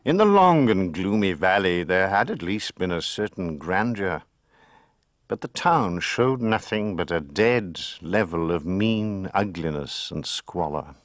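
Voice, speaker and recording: A male narrator delivers literary scene-setting in a drama. His voice is deep, recorded close and dry with no room sound. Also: animated, measured pace, no room sound.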